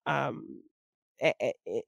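A woman's voice: very deep voice, takes it slowly, gravelly, no room sound, dull audio. A woman co-hosting a film podcast, talking casually and conversationally.

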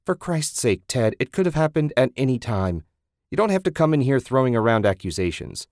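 Man doing a shouting, furious wife. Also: lowish voice, expressive, moderate pace, dry recording, studio quality. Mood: defensive, angry. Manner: shouting, defensive, raised voice. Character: angry, exasperated wife in a marital fight.

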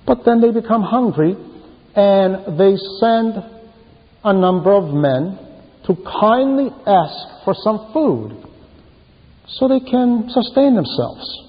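Echoing, slow-paced man preaching. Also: high voice, expressive. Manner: deliberate short phrases with pauses, emphatic storytelling. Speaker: preacher reading a translated homily. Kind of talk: sermon, retelling Bible stories. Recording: muffled, long reverberant hall echo.

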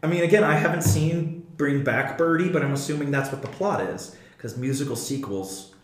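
A man's voice: a little high, normal speed. A man co-hosting a podcast in casual chat, with a dry, sarcastic way of talking. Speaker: podcast co-host. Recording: very echoey room.

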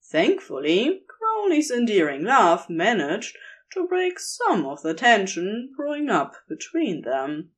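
A woman's voice: medium pitch, wildly swooping pitch, slow. A woman narrating romantic fiction from a script as a storyteller.

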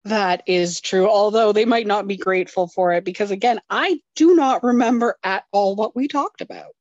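A woman's voice: mid-range voice, normal speed, darkish tone, dry recording, dull audio. A woman co-hosting a podcast in casual chat, joking drowsily about herself. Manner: self-deprecating, drowsy joking. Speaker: podcast co-host.

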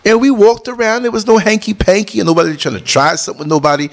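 A man's voice: steady tone, fast. A middle-aged man from New City, talking casually over concert footage in an emphatic, preachy way, swinging his voice up and down. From New York City. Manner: emphatic, preachy, jumps between high and low tones. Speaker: vlogger and podcast host.

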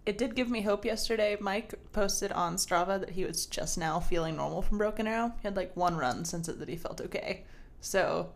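Woman, podcast co-host, mid-range voice, teasing and quick with jokes. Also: medium pitch. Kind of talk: casual podcast chat.

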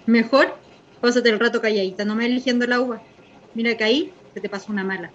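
A woman plays a sharp-tongued, defensive young Chilean worker in a radio drama. Her voice is on the high side and her delivery curt and irritable. The recording is a little dull with faint noise. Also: fairly even intonation, fast talker, close to an everyday voice. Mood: annoyed. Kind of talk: naturalistic radio drama acting.

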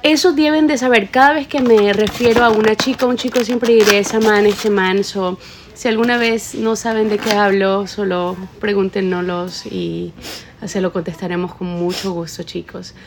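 A young woman from Ecuador speaks in a relaxed, easygoing way. Her Ecuadorian accent is clear, and she slips English words into her talk now and then. A faint background hum sits under her voice. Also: mid-range voice, normal speed. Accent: Ecuadorian Spanish. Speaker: podcast co-host. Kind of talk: casual chat. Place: kitchen break room.

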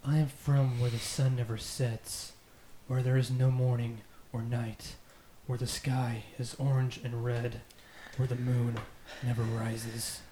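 Male podcast host, mid-pitched, reading amateur fiction for laughs, echoey and noisy room. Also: flat delivery, a little slow, mellow. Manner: joking, narrates amateur fiction aloud. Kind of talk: casual chat and reading fan fiction aloud. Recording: echoey room with noticeable background noise.

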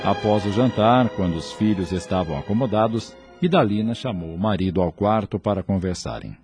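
A man's voice: on the low side, lively intonation, moderate pace, darkish tone. A man with a Brazilian accent reading scripted narration for a radio drama. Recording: music under the voice. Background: prominent music.

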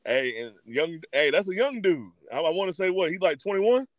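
An American man with a slightly high voice, recorded dry and close. He banters casually as a talk show co-host, slangy and animated, dropping in interjections as he goes. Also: normal speed, no echo, muffled recording.

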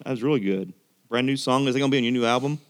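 A man's voice: mid-range voice, rapid-fire. A man hosting a casual podcast, bantering with and steering his group like a jokey ringleader. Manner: jokey, ringleader prodding the others. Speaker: podcast host.

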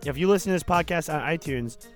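A man with a fairly high voice co-hosts a casual podcast, firing off sarcastic jokes and ad-libbed thanks. Faint music plays underneath. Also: quick pace. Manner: sarcastic, jokey. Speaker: podcast co-host. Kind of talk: casual comedic podcast banter.

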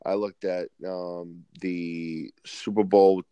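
Laid-back New guy, lower voice. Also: takes it slowly, clean tone, no room sound. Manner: relaxed, hesitant, lots of "um" and restarts. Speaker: podcast guest. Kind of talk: casual sports chat.

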